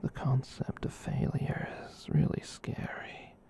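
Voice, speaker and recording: A performer voicing a gentle giant furry catboy in a whispered ASMR roleplay, soft and breathy, rambling tenderly and reassuringly. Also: natural voice. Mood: earnest, encouraging. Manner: slow, tender, reassuring rambling with cat-speak.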